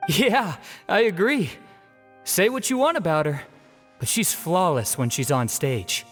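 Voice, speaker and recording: A man's voice: high-pitched, expressive, unhurried, fairly bright tone, soft background music. A man playing an admiring, opinionated theatre-goer in a video game dub. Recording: long hall reverb.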